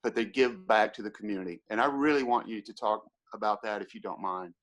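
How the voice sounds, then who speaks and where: A man's voice: on the low side, fairly quick, fairly bright tone. A man from Georgia with a Southern American accent, hosting a casual interview in an easygoing, admiring, chatty way. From Georgia, USA. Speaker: host.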